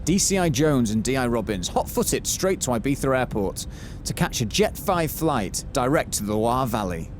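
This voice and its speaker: A man's voice: medium pitch, big pitch swings, normal speed, background noise, lightly acted. A man playing the dramatic narrator of a mock detective serial, reading scripted narration. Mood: brisk, dramatic.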